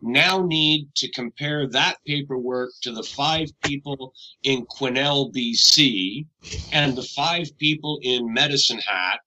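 This is a man from Canada chatting as a guest on a talk radio show. He rambles in a loose, conversational way and keeps correcting himself. His voice is very bright and forceful, at a middling pitch. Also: slow, lo-fi sound, a little hiss or hum. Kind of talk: casual radio interview.

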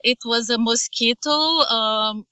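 Middle-aged woman, Brazilian Portuguese accent, earnest testimony, bright tense tone, dry close sound. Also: fairly high pitch, little pitch movement, smooth, no room sound. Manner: halting, earnest, with frequent restarts. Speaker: mother giving testimony. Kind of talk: personal testimony.

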